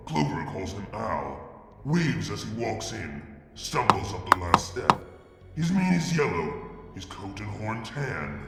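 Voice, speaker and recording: Guy reading fanfic, gravelly, lively pitch, echoey room w faint music. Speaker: fanfic reader. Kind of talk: dramatic story reading.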